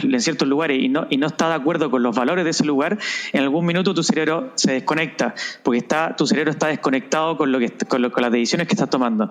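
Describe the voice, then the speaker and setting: A man whose first language is Spanish talks as a podcast guest in a reflective, searching way. His voice sits a little high. He restarts phrases often and checks in with short tag questions. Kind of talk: conversational interview.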